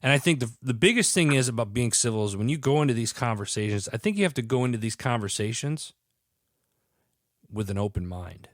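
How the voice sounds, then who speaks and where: A man's voice: mid-range voice, expressive, a little fast, dry recording. A male podcast host with a stuffy head cold, talking in an earnest, rambling way and often restarting his sentences. His voice is very dry, with no room sound at all. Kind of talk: casual podcast chat.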